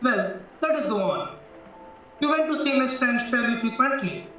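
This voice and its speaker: Man acting a shrewd, high-voiced lawyer, very echoey. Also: lively intonation, bright, forceful tone, exaggerated voice. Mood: probing, formal. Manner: formal, deliberate, questioning. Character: shrewd, probing male defence lawyer, formal and measured. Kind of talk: stage-style courtroom audio drama acting. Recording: very echoey, distant, dull, music behind.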